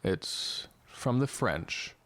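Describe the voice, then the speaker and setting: An actor playing a literal-minded man of medicine in a scripted audio drama, explaining things in a deliberate, didactic, plain manner. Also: fairly bright tone. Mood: didactic, calm.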